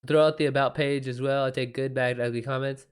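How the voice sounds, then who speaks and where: Man, livestream host, medium pitch, casual chat, slangy, freely sweary. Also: level tone, fairly quick, clear, resonant tone.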